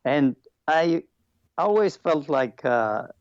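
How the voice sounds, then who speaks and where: A man from Poland with a Polish accent, a native Polish speaker, giving halting, thoughtful answers as an interview guest. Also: a little high, lively intonation, dry recording, highs cut off. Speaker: podcast guest, former Olympic weightlifter. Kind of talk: interview answers, personal recollection.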